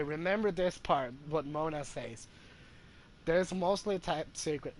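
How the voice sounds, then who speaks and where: A man hosting a gaming video with casual, informal commentary, repeating points for emphasis. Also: on the high side.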